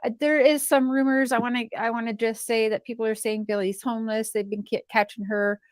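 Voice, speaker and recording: A woman chatting casually in an earnest, protective and halting way, as on a livestream. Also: a little high, flat delivery, no echo.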